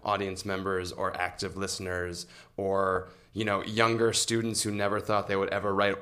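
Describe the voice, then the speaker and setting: Thoughtful man with a lowish voice. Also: completely flat delivery, fairly bright tone. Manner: thoughtful, halting, hedging. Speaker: arts organization administrator, interview guest. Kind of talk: conversational interview answer.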